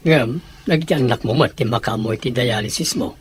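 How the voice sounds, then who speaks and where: A man playing a blunt parent who leans on grown children, husky-voiced, in an audio drama. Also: medium pitch, fairly quick, a little hiss or hum, close to an everyday voice. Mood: blunt, insistent. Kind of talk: Ilocano audio drama acting.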